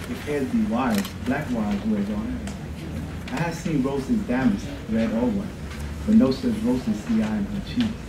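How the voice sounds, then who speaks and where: A middle-aged man recites classical verse in a fairly low voice. He sounds a little distant in an echoey, noisy room. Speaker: poetry contest reader. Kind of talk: sonnet recitation. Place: college classroom, at a podium.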